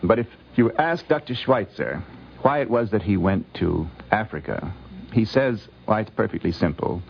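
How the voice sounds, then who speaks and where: A man giving considered, explanatory commentary as a guest scholar, lecturing deliberately with emphatic phrasing, on a vintage radio recording. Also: fairly low pitch, animated, gravelly, muffled recording, a little hiss or hum.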